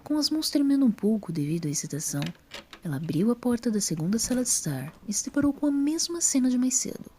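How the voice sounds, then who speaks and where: A woman with a Brazilian accent narrating a scripted story in a breathy voice. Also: fairly high pitch, sing-song, fast, dark tone, a little hiss or hum.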